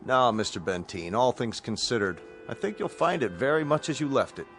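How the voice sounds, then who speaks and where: An actor playing a courteous, businesslike colonel in command of a rescue spaceship, measured and official, in a radio drama. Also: male voice, medium pitch, big pitch swings, a bit bright. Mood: reassuring. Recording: radio drama with faint music behind.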